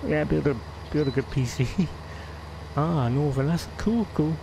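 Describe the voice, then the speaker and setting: Slow, gravelly West Country man. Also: fairly high pitch, noisy background. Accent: West Country English. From England. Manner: rambling, chatty, laughs often. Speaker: game streamer. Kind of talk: casual live-stream chat.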